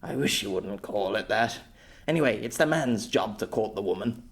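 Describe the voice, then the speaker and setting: A man's voice: on the low side, lively intonation, moderate pace, gravelly. A man voicing a stuffy, proud old former knight with a formal, hesitant manner, in an audiobook story. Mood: flustered, stiff.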